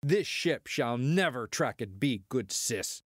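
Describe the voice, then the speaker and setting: An actor playing a cocky, boastful starship pilot, swaggering through archaic verse in an audio drama. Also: male voice, medium pitch, expressive, slow, no room sound, studio quality.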